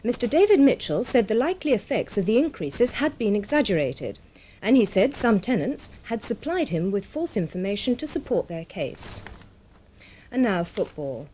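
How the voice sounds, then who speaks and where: A woman reads a scripted regional television news bulletin in a formal, measured manner. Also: mid-range voice, very animated, mellow, slight background noise, poor audio. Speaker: television newsreader.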